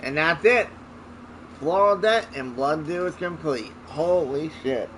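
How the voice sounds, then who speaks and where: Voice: medium pitch, lively intonation, measured pace, fairly bright tone, slight background noise. A gamer giving casual gameplay commentary, with exclamatory, muttered reactions and some swearing. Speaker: gamer reacting while playing.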